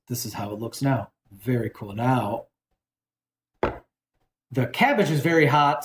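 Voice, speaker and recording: A man's voice: mid-range voice, echoey room, noisy background. A man hosting a casual cooking demonstration, chatty and rambling, often correcting himself as he seasons a dish. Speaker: cooking show host.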